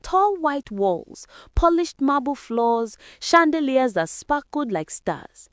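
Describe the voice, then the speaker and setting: A woman's voice: high-pitched, very animated, slow, no room sound, clean studio sound. A woman storyteller narrating a folktale in a dramatic, scripted style.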